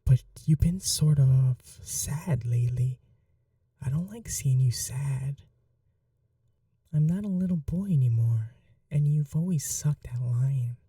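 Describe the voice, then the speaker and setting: A performer voicing a sweet, innocent young vampire prince, a doting younger brother, in breathy, earnest ASMR roleplay. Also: medium pitch, slow, mellow, no room sound, clean studio sound, far from the mic. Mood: reassuring. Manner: breathy, whispery, earnest, affectionate.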